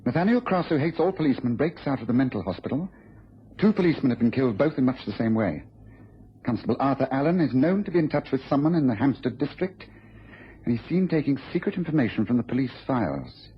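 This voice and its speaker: Man acting fast talking english detective, sardonic and exacting, old radio drama, muffled hissy recording. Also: mid-range voice, animated, natural voice. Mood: pondering. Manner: incisive, questioning, faintly impatient.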